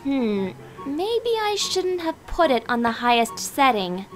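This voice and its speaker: A woman's voice: sits high, very animated, unhurried, loud music behind the voice. A woman voicing a studious female cartoon pony who explains things primly in a comic dub. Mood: sheepish.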